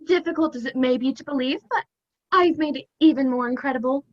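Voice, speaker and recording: A woman's voice: sits high, fairly quick, lightly acted. A teenage girl playing a pompous, bossy, exasperated writer-director in a stage comedy over a lo-fi video call. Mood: bossy, smug. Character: imperious, exasperated writer-director guarding her script. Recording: dull lo-fi video-call audio.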